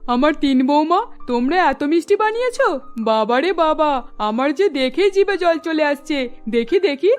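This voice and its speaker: Woman as high-voiced exclaiming mother-in-law. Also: animated, moderate pace, soft background music. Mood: delighted, amazed. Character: delighted sweet-loving mother-in-law. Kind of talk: cartoon character voice.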